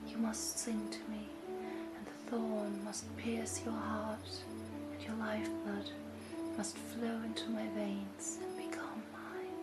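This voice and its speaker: Lady playing a sad old rose tree in a storybook, really slow, breathy half whisper, echoey. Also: mid-range voice, completely flat delivery, over loud music, lightly acted. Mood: grave, foreboding.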